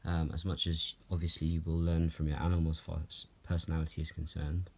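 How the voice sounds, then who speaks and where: Mellow low-voiced Norwegian-born man, unhurried. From Norway. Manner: calm, unhurried, frequent ums and soft laughs. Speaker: reptile keeper answering viewer questions. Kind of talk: relaxed Q&A vlog. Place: at home, handling snakes while filming.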